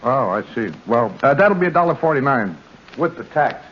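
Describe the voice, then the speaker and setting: Actor as lively folksy gas station attendant. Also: male voice, mid-range voice, normal speed, faint music behind, close to an everyday voice. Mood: curious. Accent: American. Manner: folksy, colloquial, chatty. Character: folksy, plain-spoken male rural gas station attendant. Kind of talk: 1940s radio drama acting. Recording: noisy, muffled 1940s radio recording.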